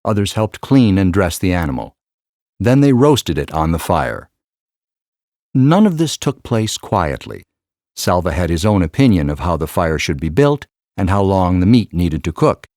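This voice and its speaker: A man reading a scripted story as an audiobook narrator, with measured, expressive storytelling. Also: low-pitched, no room sound, clean studio sound.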